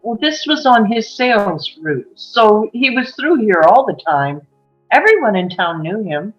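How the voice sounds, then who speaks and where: A woman playing a guarded, wistful small-town woman who confides old secrets haltingly in a drama table read. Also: medium pitch, sing-song. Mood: casual, guarded. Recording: muffled, music underneath.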